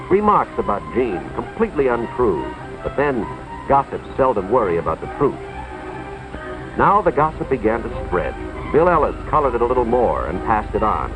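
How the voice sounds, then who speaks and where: An American man playing an earnest, authoritative school official, narrating a cautionary tale in a deliberate, moralizing 1950s educational film style, with dramatic emphasis. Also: medium pitch, lightly acted. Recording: tinny, band-limited 1950s film soundtrack, music behind.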